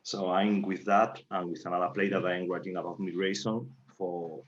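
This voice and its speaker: A man with a Spanish accent talking on a panel in halting English, searching for words. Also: low-pitched, fairly even intonation, dull audio. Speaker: playwright, panel guest. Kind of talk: unscripted panel talk.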